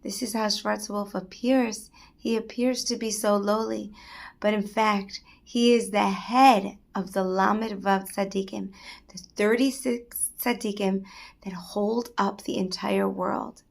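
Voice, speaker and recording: A woman voicing a gentle holy old master. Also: medium pitch, steady tone, unhurried, vocal fry. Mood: solemn. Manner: soft, tender, solemn.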